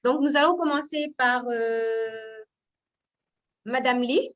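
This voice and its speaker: Female moderator, online session, fairly high voice, courteous. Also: slow, fairly bright tone. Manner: polite, hesitant, repeats words. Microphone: video call.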